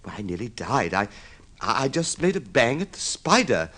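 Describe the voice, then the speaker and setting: A man's voice: medium pitch, sing-song, normal speed, sharp tone. A man playing a timid henpecked husband in an old radio drama, with a hesitant, stammering delivery. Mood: confiding. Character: timid henpecked husband, spider-fearing, haltingly finding his nerve. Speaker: radio actor.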